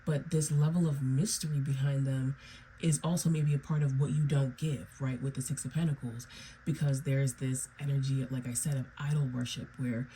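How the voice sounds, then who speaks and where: Low, mellow-voiced woman reading tarot. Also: steady tone, normal speed, a little hiss or hum, low-quality recording. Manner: meandering, repeats "okay?" and "right?". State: under the weather, raspy. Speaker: tarot reader. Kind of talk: solo tarot reading to viewers.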